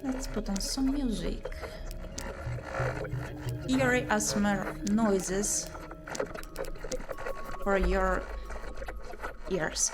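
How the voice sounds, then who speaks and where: A woman streams a visual novel, reading its narration aloud and commenting as she goes. Her voice sits at a middling pitch and her delivery is expressive, given to exclaiming and muttering to herself. Music is clearly audible behind her. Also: extremely slow, long pauses.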